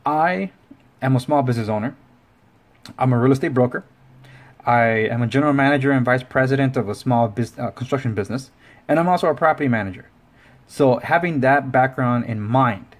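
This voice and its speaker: A man's voice: mid-range voice, normal speed, pauses often. A man hosting a casual tech review, talking informally and rambling with self-correcting asides. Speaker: tech review show host. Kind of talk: casual video review talk to camera.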